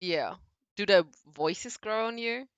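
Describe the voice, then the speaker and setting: A woman chatting casually with her partner about games, teasing and quick to react. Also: medium pitch, expressive, smooth, no echo. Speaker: guest, girlfriend. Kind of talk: casual chat.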